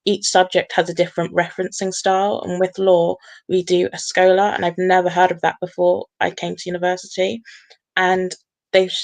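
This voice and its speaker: A woman's voice: fairly low pitch, completely flat delivery, normal speed, gravelly. A woman who is a law student, speaking earnestly and steadily on a university panel. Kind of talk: panel discussion.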